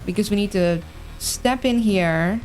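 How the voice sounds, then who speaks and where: A woman's voice: medium pitch, unhurried, darkish tone, faint music behind. A woman with a mild, mixed Dutch accent, streaming a game in casual chat and thinking aloud hesitantly. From Netherlands. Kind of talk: casual livestream chat and puzzle-game commentary.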